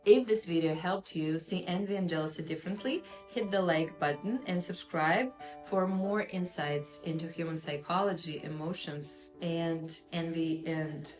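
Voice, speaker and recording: A woman's voice: on the low side, moderate pace, few pauses. A woman therapist with a Russian accent gives an earnest, explanatory talk, with frequent fillers. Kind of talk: educational talk to camera. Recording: echoey room with faint music.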